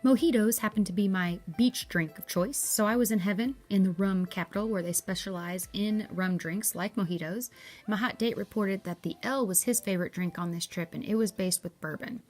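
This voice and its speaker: A woman's voice: mid-range voice, fairly quick, darkish tone, soft background music. A woman with a Southern US accent, narrating a chatty, upbeat travel review in a folksy way. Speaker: travel vlogger.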